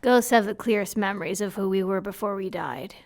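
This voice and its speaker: A woman playing a cynical, gloomy ghost schoolgirl with a deadpan manner in an audio drama. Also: medium pitch, a little fast, lightly acted. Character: cynical ghost schoolgirl, baby-faced, long dead, bluntly gloomy.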